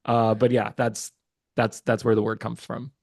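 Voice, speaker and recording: A man from Pakistan, Urdu his first tongue, talks as a podcast guest in a mid-pitched voice. He speaks with eager warmth but stumbles through fillers and restarts. The sound is close and dry, with no room echo. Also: little pitch movement, moderate pace, gravelly. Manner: hesitant, many fillers and restarts, earnest and enthusiastic. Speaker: guest, watch market research business developer. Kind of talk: casual interview chat.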